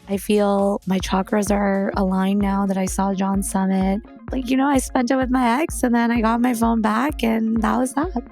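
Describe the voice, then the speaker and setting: A young woman hosting a podcast, telling a story in a chatty, animated way and then welcoming a guest. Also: mid-range voice. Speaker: podcast host. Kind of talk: casual storytelling and interview chat.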